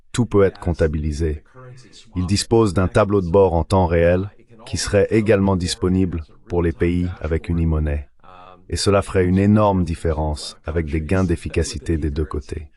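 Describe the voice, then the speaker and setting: A man's voice: low-pitched, darkish tone, natural voice. A man delivering an explanatory voice-over translation for an interview guest. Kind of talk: dubbed interview commentary, explanatory.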